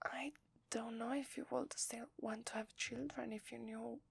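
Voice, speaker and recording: A woman with an Italian accent playing a gentle, loving young wife in a whispered, hesitant ASMR roleplay. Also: on the high side, fairly even intonation, no echo, heavily acted. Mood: nervous, earnest. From Italy. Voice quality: breathy, whispery. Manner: slow, hesitant, tender. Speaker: ASMR voice actor.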